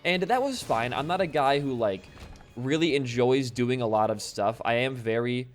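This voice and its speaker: A laid-back young man from Wisconsin, a bit hoarse after losing his voice, rambling casually while commenting on his gameplay. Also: medium pitch, lively intonation, moderate pace. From Wisconsin, USA. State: slightly hoarse from voice loss. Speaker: gaming channel host. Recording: faint game music behind.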